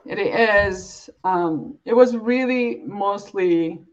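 A woman's voice: mid-range voice, drawn-out words. Woman, dull lo-fi recording. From East Africa, born and raised in Uganda. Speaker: interview guest. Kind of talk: reflective, earnest interview talk. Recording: dull, lo-fi audio.

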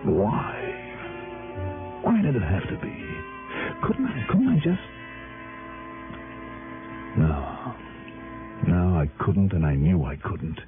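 Hard-boiled detective, deep voice, clipped and brooding, vintage radio drama sound over prominent music. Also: male voice, wildly swooping pitch, slow, pauses often, exaggerated voice. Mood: anguished. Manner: clipped, brooding, self-questioning delivery. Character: world-weary, hard-boiled male private investigator, brooding and dogged. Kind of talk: 1950s-60s radio detective drama narration and dialogue. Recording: vintage tinny radio recording, music behind.

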